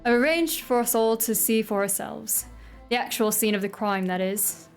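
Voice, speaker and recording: A woman reading the part of a gentleman detective from a story game, in plain, unhurried American English with a fairly high voice. She reads his lines straight, with a soft music playlist quietly behind. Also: steady tone, natural voice. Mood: calm.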